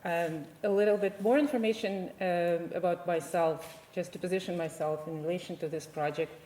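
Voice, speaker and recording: A woman's voice: lowish voice, fairly even intonation, moderate pace, warm tone, roomy sound. A woman from Ukraine, a native Ukrainian speaker, giving a conference presentation as a digital archivist, speaking hesitantly with many fillers and restarts.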